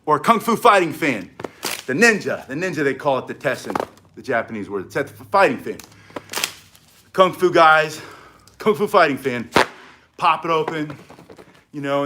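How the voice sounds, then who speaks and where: A middle-aged man teaching stick self-defense in an energetic, chatty way, with short punchy phrases. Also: on the high side, moderate pace, long pauses, background noise. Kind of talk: casual instructional demonstration.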